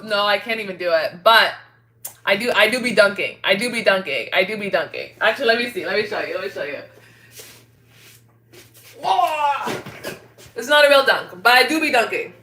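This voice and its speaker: Bright, higher-voiced woman livestreamer chatting casually. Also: a little hiss or hum. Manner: animated, giggly, slangy. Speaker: livestream host reading and answering viewer chat.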